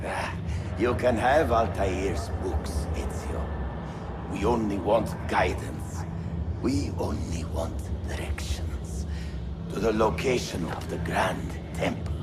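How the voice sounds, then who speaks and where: An actor playing a ruthless, sneering, hot-tempered army captain in a video game, gravelly, theatrical and menacing. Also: male voice, low-pitched, takes it slowly, over loud music. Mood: weak, scornful. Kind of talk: video game cutscene acting.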